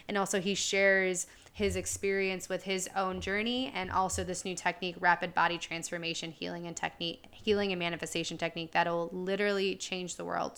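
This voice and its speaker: A woman's voice: on the low side, fairly bright tone, clean tone. A woman hosting a podcast interview, talking with enthusiasm and a lot of filler words. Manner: enthusiastic, lots of filler "like" and "really". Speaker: podcast host.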